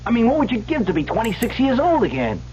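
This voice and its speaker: Pushy, animated young hustler. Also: male voice, very high-pitched, fast, full character voice. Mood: insistent, hustling. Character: pushy young male hustler, hard-boiled and insistent. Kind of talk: 1970s radio drama acting. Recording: lo-fi old radio broadcast, faint noise.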